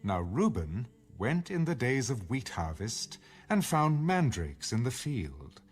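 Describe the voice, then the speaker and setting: A man narrating scripture from a script in a measured, solemn storytelling manner, as in a dramatized audio Bible. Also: medium pitch, very animated, husky, full character voice. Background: music.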